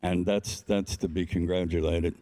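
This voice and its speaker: A man from the audience giving halting, measured praise. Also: low-pitched, flat delivery, noisy background. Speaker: audience member.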